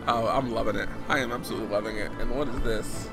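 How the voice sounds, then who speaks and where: Hoarse man chatting on a stream. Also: mid-range voice. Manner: chatty, answers viewers' chat as he plays. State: hoarse, losing his voice. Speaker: game streamer. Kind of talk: casual live-stream chat while gaming. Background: loud game music.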